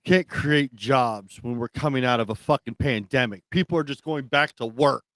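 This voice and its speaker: A man's voice: mid-range voice, normal speed, a bit bright, dry recording. A man co-hosting a talk show, chatting casually and giving opinions in a blunt, profane way. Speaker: talk radio co-host.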